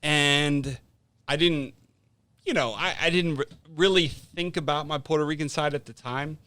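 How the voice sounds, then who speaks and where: A man with a fairly high, clean and resonant voice, recorded close and dry. He tells personal stories as a casual podcast guest, swearing freely and often tagging his phrases with "right?". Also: moderate pace, sharp tone, smooth, no room sound. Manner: informal, sweary, punctuates talk with "right?".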